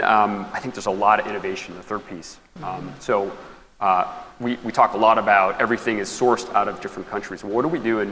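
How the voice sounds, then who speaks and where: A man with a somewhat low voice speaks on a panel, working through his points with frequent fillers. He is heard in a hall with long reverb and faint background ambience. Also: a bit bright, slight background noise. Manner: thoughtful, filler-heavy phrasing. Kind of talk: panel discussion. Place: conference hall.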